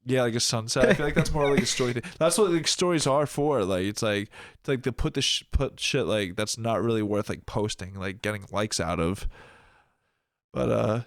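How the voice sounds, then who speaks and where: A young man talks in a loose, rambling way, with a filler "like" in nearly every phrase and frequent swearing. His nose is stuffed up, which thickens his voice. He sounds relaxed, as if he is chatting with a friend. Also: medium pitch, moderate pace, no room sound, clean studio sound. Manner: rambling, heavy use of "like", swearing. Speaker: podcast guest, rapper. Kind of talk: casual chat.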